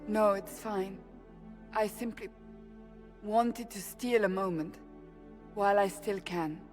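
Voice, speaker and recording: A woman's voice: medium pitch, steady tone, takes it slowly, darkish tone, soft background music, close to an everyday voice. Game role: actress as earnest, serious female warrior with a tender side, middle register, faint score. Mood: earnest, wistful. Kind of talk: video game cutscene drama.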